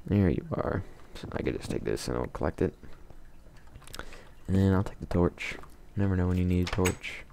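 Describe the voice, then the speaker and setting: Chill gamer guy muttering along, deep gravelly voice, bit of hiss behind. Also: moderate pace. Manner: calm, mumbling, self-talking. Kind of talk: casual let's play commentary.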